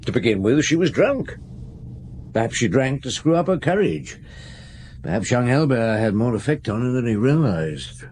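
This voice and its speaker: An actor playing a calm, probing police chief inspector in a radio drama, questioning witnesses and giving orders in a measured, authoritative way. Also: male voice, medium pitch, slight background noise, lightly acted. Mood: reflective. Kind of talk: 1950s-set radio drama acting.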